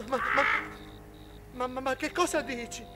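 A man's voice: extremely high pitch, normal speed, loud music behind the voice. A middle-aged man playing a timid, flustered church sexton with a nervous stammer in a vintage television comedy-drama. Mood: frightened, stammering. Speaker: actor in a TV serial. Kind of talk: 1960s Italian television comedy-drama acting. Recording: vintage 1960s television soundtrack.

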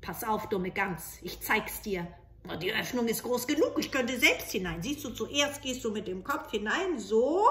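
A woman's voice: on the high side, expressive, unhurried, fairly bright tone, a little hiss or hum, voice pushed up. A woman voicing a greedy, cruel old witch from a fairy tale, barking orders and insults in an impatient manner.

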